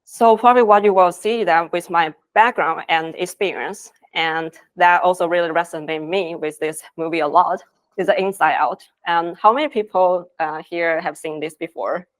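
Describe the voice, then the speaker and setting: A woman's voice: lowish voice, moderate pace. A woman from Taiwan, earnestly presenting at a conference in phrasing. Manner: earnest, with non-native phrasing. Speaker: conference speaker, design lead. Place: conference stage.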